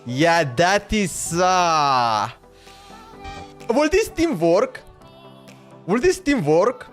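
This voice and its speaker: A man's voice: high voice, very animated, very slow. A man livestreamer chatting casually in a slangy, exclamatory way as he reacts, often repeating himself. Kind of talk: casual reaction stream chat. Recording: background music under voice. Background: music.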